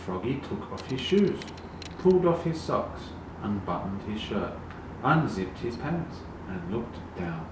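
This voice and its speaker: A man reading a children's picture book aloud in a deliberate, animated way, with emphasized sound words. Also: fairly low pitch. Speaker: storybook reader. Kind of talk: expressive children's read-along narration. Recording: very echoey, distant, noisy room.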